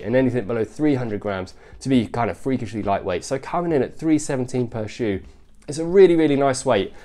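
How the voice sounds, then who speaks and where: A man's voice: medium pitch, mellow. A man with a bunged-up, congested nose, giving an upbeat sneaker review vlog and often repeating "really, really nice". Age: adult father.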